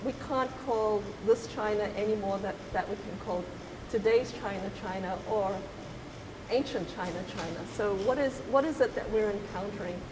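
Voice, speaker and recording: A middle-aged woman from Zimbabwe, an artist talking reflectively, pausing often and restarting her thoughts. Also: medium pitch. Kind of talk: reflective conversational talk. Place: gallery. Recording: roomy hall with hiss.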